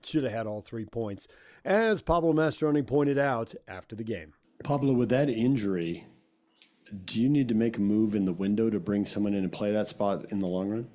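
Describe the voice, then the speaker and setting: A man's voice: fairly low pitch, moderate pace, mellow, no room sound. An American man hosting sports radio, giving commentary on games and putting questions to a coach.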